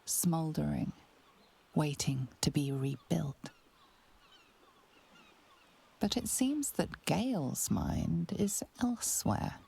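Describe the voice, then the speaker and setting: An actress as the grave narrator of a fantasy video game, in a hushed, husky storytelling voice. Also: female voice, low voice, expressive, takes it slowly, pauses often, full character voice. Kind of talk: scripted narration.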